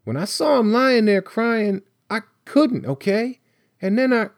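A man's voice: fairly high pitch, animated, normal speed, dry recording, studio quality, voice pushed up, lightly acted. A man voicing a nervous, defensive teenage boy in an audiobook, blurting slangy protests. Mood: agitated.

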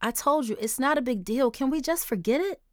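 A woman's voice: sits high, brisk, no room sound, studio quality, pitched above the performer's own voice, close to an everyday voice. A woman voicing an upset, defensive fourteen-year-old girl who pleads and then lashes out angrily. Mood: pleading. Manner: pleading, then angry and blurting.

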